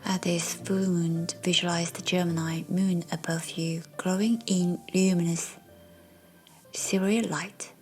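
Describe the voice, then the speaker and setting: A woman narrating a guided meditation in a soft, breathy, soothing way, as a meditation guide. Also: lowish voice, steady tone, a little slow. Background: soft background music.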